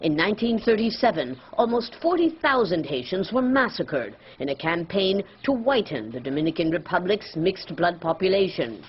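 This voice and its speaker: A female news correspondent narrating a scripted report in a measured, expressive way. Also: lowish voice, lo-fi sound, slight background noise.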